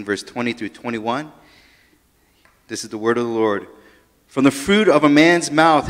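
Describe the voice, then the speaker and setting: Loud casual preacher in a church hall. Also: male voice, mid-range voice. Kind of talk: sermon opening and scripture reading. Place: church sanctuary stage. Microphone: handheld microphone. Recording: long church hall reverb.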